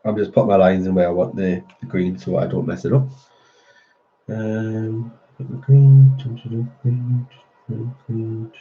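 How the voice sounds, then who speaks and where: A man's voice: on the low side, level tone, slow, highs cut off. A man hosting a colouring channel, chatting casually with viewers while he colours, a little nasal as he gets over a cold. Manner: chatty, answering viewer comments. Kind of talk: casual live-stream chat while colouring.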